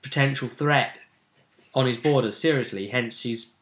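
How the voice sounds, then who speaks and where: Bright-voiced man recapping a game. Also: mid-range voice, normal speed. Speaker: role-play session host. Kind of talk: casual recap of a role-play game.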